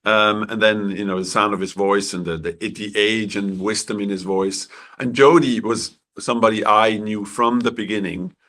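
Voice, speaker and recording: A man from Switzerland, a film director answering interview questions in a halting way with many restarts, clearing his throat as his voice goes. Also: on the low side, fairly even intonation, a bit bright.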